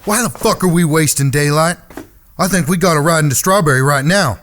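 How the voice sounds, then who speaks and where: A man plays a rough frontier outlaw with a slightly high voice. He is plain-spoken, blunt and folksy, in the manner of a western audio drama. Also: fairly quick, a bit bright, a little hiss or hum, close to an everyday voice. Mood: vengeful.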